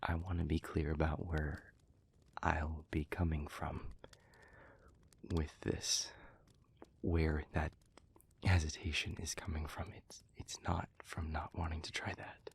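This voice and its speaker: Gentle, remorseful demon , played by a man, for intimate audio roleplay: gravelly, subdued and flat in melody, near-whispered, careful and hesitant. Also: exaggerated voice. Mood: hesitant, earnest. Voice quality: breathy, hushed, near-whispering low voice. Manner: halting, earnest, careful, with long pauses.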